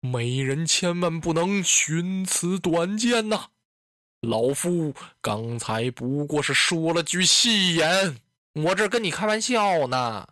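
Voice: medium pitch, animated, slow pace, a bit bright, dry recording, studio quality. A storyteller voicing an old male tyrant in a historical tale, lordly and self-important, grave yet coaxing and doting. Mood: flustered coaxing.

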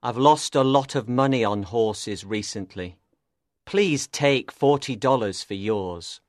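A woman's voice: extremely low pitch, slow, no room sound, studio quality, pitched below the performer's own voice. A woman voicing a bitter, down-on-his-luck male horse dealer in a storybook reading, in a pleading manner.

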